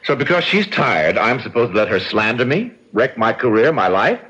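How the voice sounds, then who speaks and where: A man's voice: medium pitch, big pitch swings, normal speed, pushed and bright, husky, exaggerated voice. An actor playing a calculating, indignant man suspected of murder, in emphatic, theatrical radio mystery style. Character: smooth, calculating, aggrieved brother-in-law suspected of murder. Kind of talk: old-time radio mystery acting. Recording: dull, lo-fi radio drama recording.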